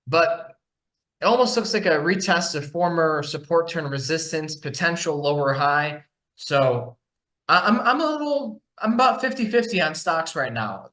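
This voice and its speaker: A man's voice: a little high, a bit bright. A man hosting a market podcast, talking casually through charts on a shared screen, with frequent fillers and restarts. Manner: conversational, many fillers and restarts. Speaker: podcast host and market analyst.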